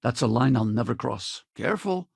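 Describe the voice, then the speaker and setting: A man playing a middle-aged, weary but loyal spaceship crewman and ex-soldier in a video game, plain-spoken and wry, with a gravelly, husky voice. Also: mid-range voice, expressive, normal speed, darkish tone, no echo, studio quality. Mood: earnest. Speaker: video game companion character. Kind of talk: naturalistic video game dialogue.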